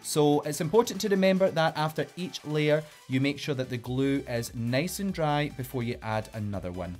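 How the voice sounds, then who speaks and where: Chatty Glaswegian man, slightly high voice. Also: expressive, normal speed, a little mellow, faint music behind. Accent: Glaswegian Scottish. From Glasgow, Scotland. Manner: upbeat, playful, giving step-by-step instructions. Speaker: drag queen host. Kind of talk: makeup tutorial.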